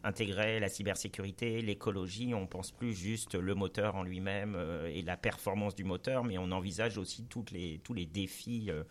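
This is a man acting as host of a panel interview. His voice sits at an ordinary middle pitch. He alternates between semi-prepared questions to his guests and brief spoken reactions. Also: steady tone, normal speed, few pauses.

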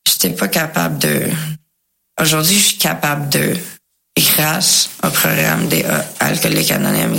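Gravelly, bright-voiced anglophone woman, French. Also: deep voice. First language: English. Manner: slangy, mixes English words into French, searches for words. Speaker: guest sharing a recovery testimony. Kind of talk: casual personal storytelling.

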